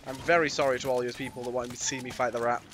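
A British man with a cold and a slightly raspy voice, giving casual, playful, mildly sweary let's-play commentary. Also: medium pitch, level tone, racing, a bit bright. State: has a cold. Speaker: gaming YouTuber. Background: game music.

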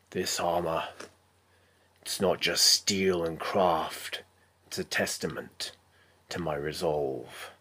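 A man playing a resolute, battle-worn armored knight in a dramatic film monologue, declaiming slowly and solemnly with breathy phrase endings. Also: sits low, sharp tone, exaggerated voice.

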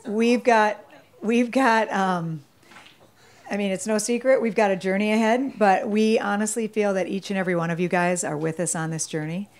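Older woman giving off-the-cuff wedding thanks. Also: medium pitch. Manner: off the cuff, many ums. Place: candlelit tent at a dinner party. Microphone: handheld mic.

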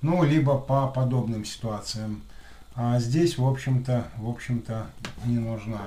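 Male repair technician, thirties, lisping, medium pitch, talking casually through his work in a roomy, noisy recording. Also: steady tone, measured pace, mellow. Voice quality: lisping after dental work. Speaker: electronics repair technician and video host. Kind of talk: casual hands-on tutorial commentary. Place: small room at a desk, indoors. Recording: roomy echo with clear background noise.

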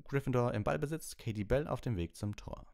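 A man voicing an excited, cheeky young student giving animated sports commentary in an audiobook. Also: mid-range voice, brisk, creaky, pitched above the performer's own voice, natural voice. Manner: animated, rattling play-by-play. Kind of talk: audiobook character reading.

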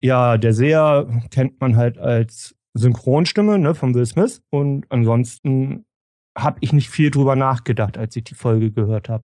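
A man with a medium-pitched voice moderates an informal panel. He talks conversationally and gives his own view. The sound is dry and close. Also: unhurried, mellow, no echo. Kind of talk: casual panel discussion.

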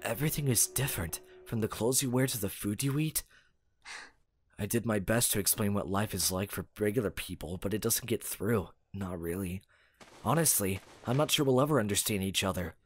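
A man's voice: on the low side, brisk, breathy edge. A man voicing an earnest, impassioned teenage schoolboy in an anime fandub, pleading for his friend. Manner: hesitant, then impassioned. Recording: music behind the voice.

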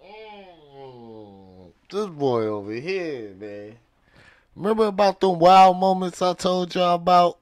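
Slow-paced American man reacting in short bursts. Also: high-pitched. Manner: slangy, exclamatory short bursts. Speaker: reaction video host. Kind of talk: casual excited commentary. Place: home studio, indoors. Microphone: close studio microphone with headphones.

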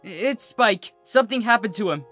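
Narrator playing female cartoon pony prankster, brash, loud, raised pitch, bright, music behind. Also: animated, normal speed. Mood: worried. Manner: loud, emphatic.

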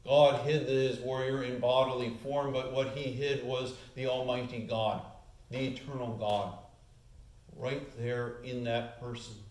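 A man in his forties delivers an earnest sermon from a church lectern, his ordinary mid-range voice rising in pitch and volume as he presses his points. The sound comes from a camera set back in the sanctuary, so the room's echo surrounds every word. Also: little pitch movement, measured pace, darkish tone. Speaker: pastor. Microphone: far camera. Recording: echoey church room.

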